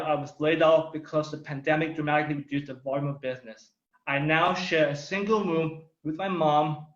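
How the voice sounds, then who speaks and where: A young man with Thai as his first language, speaking slightly high, tells his own story as a testimony speech. He is earnest and emphatic, drawing out the words he wants to land. The recording is roomy and a little dull. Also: fairly bright tone. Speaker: community speaker.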